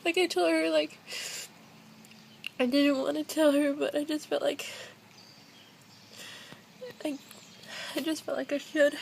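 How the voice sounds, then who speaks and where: A woman's voice: high-pitched, flat delivery, a little slow, vocal fry, pauses often, a little mellow, a little hiss or hum. A young adult woman talking casually and hesitantly in a personal confessional vlog, recorded at home on a built-in device mic.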